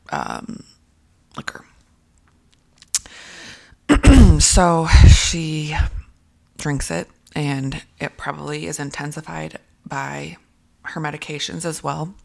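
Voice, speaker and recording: A low, gravelly-voiced woman talks through a casual commentary piece. Her voice is raspy and worn from whispering, and she rambles with plenty of ums, restarts and throat-clearing. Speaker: commentary video creator.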